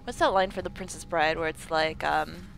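A young woman giving casual, jokey let's-play commentary close to her mic, with a low morning voice. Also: fairly even intonation, moderate pace, vocal fry, fairly bright tone, a little hiss or hum. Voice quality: lower morning voice. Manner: chatty, jokey, swears, laughs a lot. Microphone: close desk mic.

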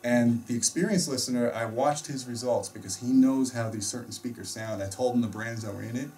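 A man's voice: medium pitch, fairly even intonation, a little fast, dark tone, slight background noise. A middle-aged American man explaining things casually as a host, in an emphatic, opinionated way. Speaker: host and audio reviewer. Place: small indoor home theater room. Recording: distant mic in a room.

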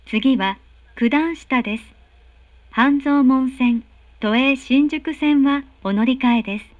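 A woman's voice: high voice, slow, dry recording, phone-quality sound. A woman reading formal, polite recorded train announcements with careful enunciation. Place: recorded announcement played inside subway car.

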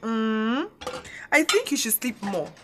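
Haughty young Nigerian woman, rather high voice acted. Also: sing-song, slow, noisy background. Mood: mocking. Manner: sarcastic, confrontational. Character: haughty, sharp-tongued young female student. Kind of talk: audio drama acting.

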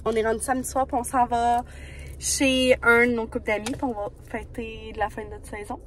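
A woman's voice: a little high, little pitch movement, a little fast, a bit bright, a little hiss or hum, poor audio. A young woman with a Québécois French accent chatting casually in an upbeat, rambling way for her vlog, filmed on a phone held at arm's length. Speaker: vlogger. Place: bedroom and car.

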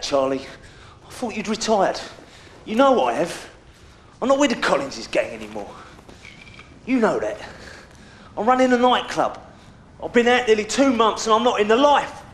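A man's voice: high voice, sing-song, normal speed. An actor playing a desperate, furious London ex-gangster father in a video game, intense and defiant. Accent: London English. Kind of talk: video game cutscene acting. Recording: noisy, echoey room.

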